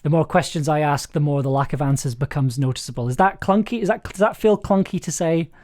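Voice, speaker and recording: A man's voice: a little high, quick pace, darkish tone. A man hosting a casual script session, giving encouraging notes while searching for his words. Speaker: host and scriptwriter.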